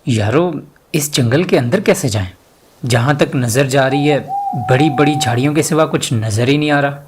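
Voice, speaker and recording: A man playing a lively young university student who loves adventure, in an audio drama. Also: medium pitch, normal speed, slight background noise, close to an everyday voice. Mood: casual. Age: young adult.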